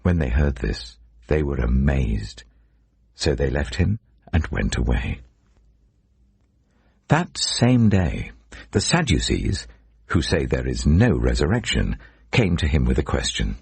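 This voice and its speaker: A man narrates scripture in an extremely low voice, full of rasp and captured very dry. He tells it as dramatic storytelling, leaning on key words with emphatic stresses. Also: sing-song, slow, pauses often, darkish tone, dry recording.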